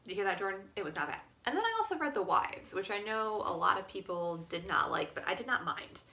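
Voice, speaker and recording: A woman's voice: medium pitch, sing-song, brisk, creaky, sharp tone. A young American woman chatting casually as a book vlogger, blunt and emphatic, with opinions and casual swearing. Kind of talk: casual chat, monthly reading wrap-up. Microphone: phone camera.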